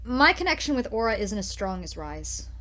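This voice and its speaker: A woman's voice: mid-range voice, very animated, moderate pace, sharp tone, faint music behind. A woman playing a sassy, good-hearted teenage girl creature in an audio drama. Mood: eager.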